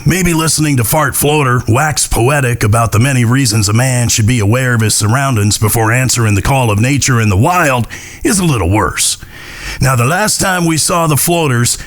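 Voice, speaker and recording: A man narrating a Western audio drama as a wry, folksy, theatrical storyteller. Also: mid-range voice. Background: plucked guitar music.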